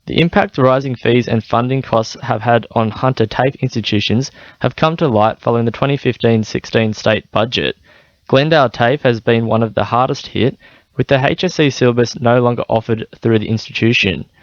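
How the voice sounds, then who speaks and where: A man's voice: mid-range voice, moderate pace, no echo, muffled recording. A man with an Australian accent reading scripted radio news bulletins. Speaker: radio newsreader.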